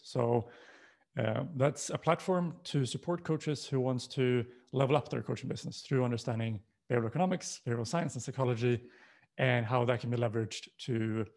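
A man's voice: medium pitch, creaky, a little mellow. A man with a Swedish accent presenting a talk in an explanatory manner, posing questions as he goes. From Sweden. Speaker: presenter, coaching co-founder.

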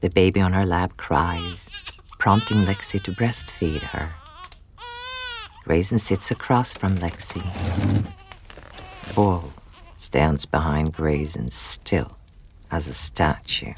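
A man's voice: deep voice, takes it slowly, pauses often, background noise. A man reading scripted scene narration for an audio drama.

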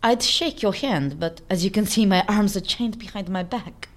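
A woman's voice: medium pitch, normal speed, slight background noise, close to an everyday voice. An actress playing a proud, cool female escapologist in an audio drama, with a self-assured, dramatic manner.

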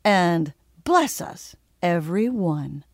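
A woman's voice: on the low side, sing-song, drawn-out words, exaggerated voice. A woman performing a brash, boastful, combative theatre adapter in a comic monologue, cocky and punchy. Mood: pumped, defiant.

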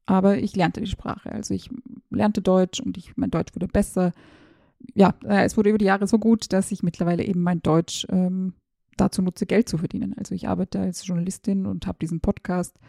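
Gravelly, flat-voiced woman. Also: medium pitch, a little fast, no echo, studio quality. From former Yugoslavia, raised in Austria. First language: Serbo-Croatian. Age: 30s. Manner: chatty and self-ironic, hesitant fillers. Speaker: podcast host, journalist. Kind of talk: casual personal monologue, like a voice message.

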